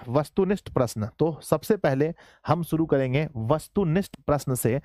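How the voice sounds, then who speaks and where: A man teaching an online class in a casual, joking way, slightly hoarse, often calling his students children. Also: fairly high pitch, a little mellow, dry recording, studio quality. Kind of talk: casual live class lesson.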